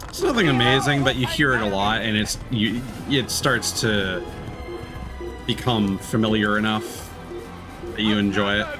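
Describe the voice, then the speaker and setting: A man's voice: fairly low pitch, a little slow. A man giving casual let's-play commentary as a game streamer, thinking aloud in a hesitant way. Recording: game music behind the voice. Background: video game music.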